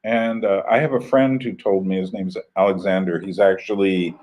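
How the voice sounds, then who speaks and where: Rambling American man, low voice. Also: steady tone, normal speed, darkish tone. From United States. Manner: rambling, chuckling, with many fillers and restarts. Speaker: channel host. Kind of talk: casual live-stream talk.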